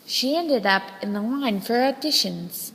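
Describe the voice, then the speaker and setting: Animated woman storyteller in a reverberant hall. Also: on the high side, vocal fry, breathy edge. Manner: dramatic, deliberate storytelling. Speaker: storybook reader. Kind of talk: scripted children's story narration, read aloud expressively.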